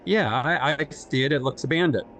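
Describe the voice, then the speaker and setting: An actor playing a shy, awkward writer in an audio play, speaking in a halting, apologetic way. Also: male voice, medium pitch, a bit bright, slight background noise, natural voice. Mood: curious. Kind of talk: naturalistic audio drama dialogue.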